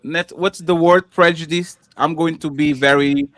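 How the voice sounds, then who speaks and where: A man with a Russian accent hosting a casual panel, joking and teasing the guests with quick interjections. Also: fairly high pitch, steady tone, fairly bright tone, dry recording.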